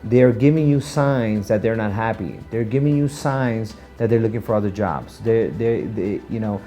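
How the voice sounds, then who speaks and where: A man's voice: fairly low pitch, moderate pace, warm tone, faint music behind. A man giving informal business advice as a practice owner on a video channel, emphatic and often checking in with rhetorical questions.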